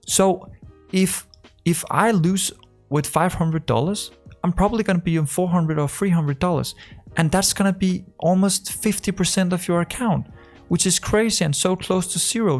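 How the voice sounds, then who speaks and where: A man from Denmark with a strong Danish accent, talking casually to camera as a trading coach, rambling with many fillers and restarts. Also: high-pitched. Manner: rambling, full of fillers and restarts, acts out conversations.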